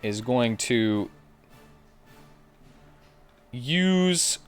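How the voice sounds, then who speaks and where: A man's voice: medium pitch, animated, extremely slow, clean tone. A man running a tabletop role-playing game as game master, narrating the action in a playful way. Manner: acts out combat with playful sound effects. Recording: music playing behind the voice. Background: background music.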